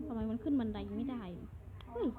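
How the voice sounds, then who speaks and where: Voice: mid-range voice, fast talker, a crowd in the background. A video creator improvising Minecraft roleplay commentary, muttering to themselves and breaking into exclamations. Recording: muffled lo-fi mic, background voices.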